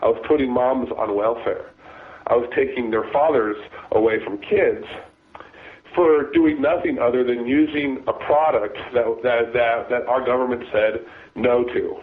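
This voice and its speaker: A man, a former deputy sheriff, talking as a guest with a raspy voice from a cold, halting and restarting his sentences. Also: medium pitch, fairly even intonation. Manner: halting, many restarts and repeated words. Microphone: phone. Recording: phone line.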